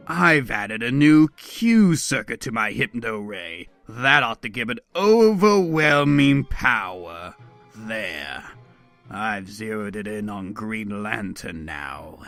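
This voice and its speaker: A man voicing a boastful, menacing criminal scientist who gloats deliberately, in a comic book performance. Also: medium pitch, bright, forceful tone, exaggerated voice. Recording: music behind the voice.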